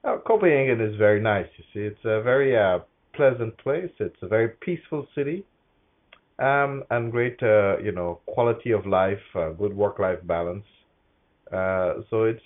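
A middle-aged man from Guyana with a low-pitched voice gives professional interview answers. He is measured and often pauses to find his phrasing. The sound is slightly dull and band-limited. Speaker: interview guest, corporate HR executive.

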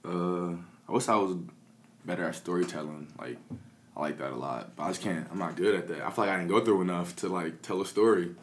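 Laid-back young rapper with a deep voice. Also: male voice, fairly quick. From Peoria, Illinois. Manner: laid-back, mumbly, frequent "like" fillers and swearing. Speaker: rapper and producer. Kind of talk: casual interview chat.

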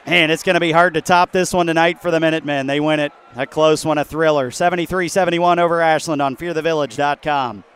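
American man, basketball announcer and host, higher-pitched, clear tone, voice strained from overuse. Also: fairly even intonation, fast, hardly any pauses, a bit bright. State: losing his voice. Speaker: play-by-play sports announcer and host. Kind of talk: excited basketball commentary.

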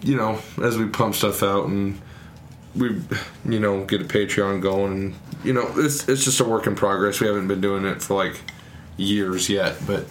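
A man's voice: lowish voice, fairly even intonation, a little hiss or hum. A young man in his early twenties, co-hosting a podcast in casual chat, rambling with many restarts and laughs. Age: young adult. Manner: rambling, halting, frequent laughs. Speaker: podcast co-host.

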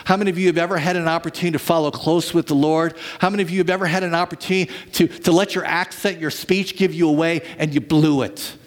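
A man preaching a sermon to his church as pastor, telling stories dramatically and swinging from lively to hushed. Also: fairly high pitch, fast talker, hardly any pauses.

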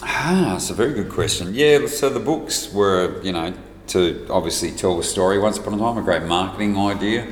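A man's voice: deep voice, moderate pace. A man with an Australian accent chatting in a loose, blokey, joking way as an interview guest. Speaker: distillery brand ambassador, guest. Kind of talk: loose, jokey casual chat. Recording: echoey room, faint background noise.

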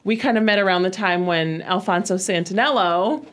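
A woman's voice: mid-range voice, normal speed, fairly bright tone. A woman in her forties hosts a local business show. She chats loosely with her guest, with fillers and easy laughs. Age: 40s. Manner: chatty, laughs often, fillers. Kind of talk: casual interview chat.

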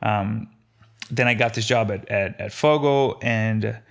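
A low, gravelly-edged man's voice with a light Brazilian accent. He talks casually, as an interviewee telling his own story, and often pauses or repeats a word while he finds the next one. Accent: faint Brazilian. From Brazil, Belo Horizonte. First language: Portuguese. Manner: halting, repeats words while thinking. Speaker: restaurant owner being interviewed.

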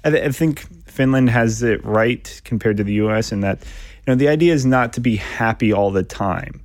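A man speaking as a podcast guest in a relaxed, thoughtful interview conversation. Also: mid-range voice, no echo, studio quality. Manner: thoughtful, measured, occasional laughs.

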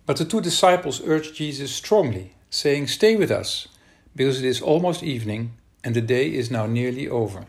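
A man with a Dutch accent leading a worship service, reading scripture and praying in a calm, measured way. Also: mid-range voice, vocal fry.

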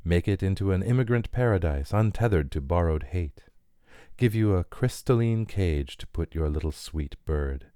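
A man as a dark, low-voiced inhuman dealmaker. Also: animated, moderate pace, clear, resonant tone, dry recording, clean studio sound. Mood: silky, grandiose. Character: inhuman company representative, gracious, menacing, predatory bargainer. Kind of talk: dramatic audio fiction reading.

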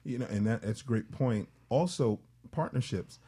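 A man's voice: medium pitch, moderate pace, warm tone, breathy edge. A man speaking as a panel guest, giving casual advice in a jokey, conversational manner.

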